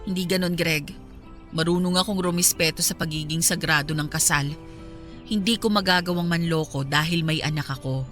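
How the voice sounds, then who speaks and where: A woman's voice: lowish voice, moderate pace, fairly bright tone, soft background music, close to an everyday voice. A woman with a Filipino accent playing a hurt, resolute young mother in a radio drama, firm and reproachful.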